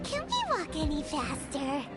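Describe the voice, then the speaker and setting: A tiny, squeaky fairy sidekick from a video game, extremely high and swooping up and down. The little creature is eager, chirpy and a bit anxious, over loud music. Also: female voice, wildly swooping pitch, unhurried, heavily acted. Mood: flustered. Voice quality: squeaky, childlike. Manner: refers to itself in third person, eager. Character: small childlike fairy companion, chirpy and anxious.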